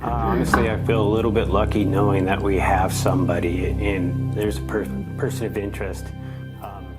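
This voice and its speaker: A man with a low voice and flat intonation speaks informally and hesitantly. Loud music plays behind him. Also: a little fast.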